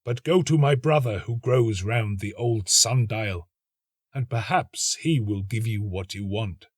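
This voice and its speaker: A man voicing a calm, stately rose tree in a storybook, with a solemn, measured delivery. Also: fairly low pitch, slightly rough, dry recording, studio quality. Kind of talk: storybook reading.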